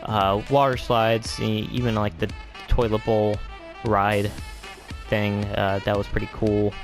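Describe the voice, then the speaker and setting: A man's voice: on the low side, little pitch movement, slow pace, soft background music. A man giving a casual, rambling game tour as video host, hesitant and often trailing off into vague asides.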